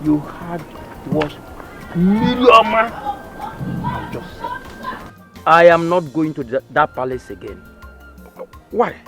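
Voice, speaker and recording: A man's voice: on the high side, very animated, takes it slowly, long pauses, over music. A man playing an older village chief who scolds and talks evasively. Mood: agitated.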